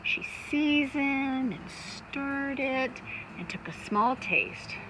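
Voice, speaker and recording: A woman reading a children's picture book aloud, expressively, with dramatic emphasis and drawn-out phrases. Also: sits high, expressive, noisy background. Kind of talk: expressive scripted read-aloud for young children.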